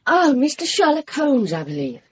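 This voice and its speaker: A woman's voice: sits high, sing-song, normal speed, a little hiss or hum. An actress in a radio drama playing a knowing, forthright female household servant. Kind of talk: BBC radio drama acting.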